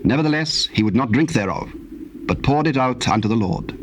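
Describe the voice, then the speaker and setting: A man narrating scripture from the King James Bible in a formal, measured storytelling manner. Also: mid-range voice, lively intonation. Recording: old cassette tape, faint background noise.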